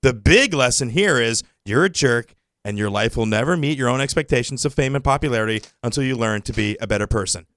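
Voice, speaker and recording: A man's voice: medium pitch, lively intonation, fast talker, fairly bright tone, no room sound, clean studio sound. A man co-hosting a comedy show, bantering and reading aloud in a teasing, exclamatory, casual way.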